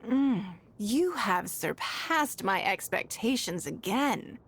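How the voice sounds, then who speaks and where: A woman playing a wealthy, shrewd businesswoman in a video game, speaking in a composed, elegant, measured and subtly teasing way. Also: medium pitch, big pitch swings, slightly rough, exaggerated voice. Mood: poised, measured. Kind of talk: scripted video game character lines. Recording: music behind the voice.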